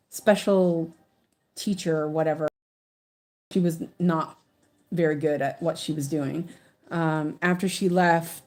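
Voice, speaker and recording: A woman's low, mellow voice drifts through an easygoing chat as she stitches. She talks slowly and wanders off mid-thought, often restarting her sentences. It sounds like a relaxed, homespun craft video. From English-speaking country, living in Sweden. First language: English. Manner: rambling, chatty, restarts often. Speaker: stitching channel host. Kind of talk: casual chat while stitching.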